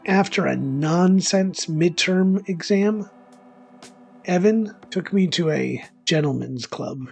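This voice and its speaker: Bitter male loner acted by a man, gravelly fry, rambling confessional monologue, defensive, self-deprecating, music underneath. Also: high voice, slow, a little mellow, exaggerated voice. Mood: smug, resentful. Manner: rambling, defensive, resentful asides. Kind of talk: dramatic first-person monologue, conversational.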